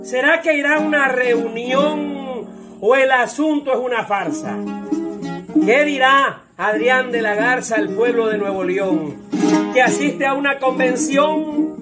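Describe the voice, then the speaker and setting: A man's voice: extremely high pitch, measured pace. A man with a Mexican accent playfully singing and reciting satirical rhymed news verses in a folksy way. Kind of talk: sung and recited rhymed political verse with jarana. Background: plucked jarana guitar music.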